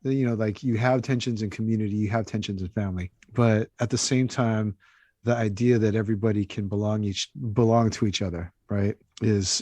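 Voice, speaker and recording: A man with an American accent and a fairly low voice, recorded close and dry. He talks as an interview guest in a thoughtful, conversational way, feeling out his ideas with small asides. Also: darkish tone, dry recording. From Hawaii, United States. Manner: conversational, thoughtful, many hedging asides like "you know" and "right". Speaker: interview guest, author and activist. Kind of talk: casual reflective interview talk.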